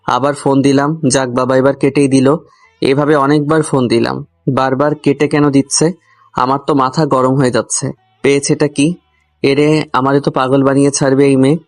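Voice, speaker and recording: A man tells a comic first-person love story over soft background music. His delivery stays fairly contained in range, with a grumbling, confiding manner aimed straight at the listener. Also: mid-range voice. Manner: grumbling, addresses the listener directly. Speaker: narrator and lead character of a love story. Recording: music under the voice.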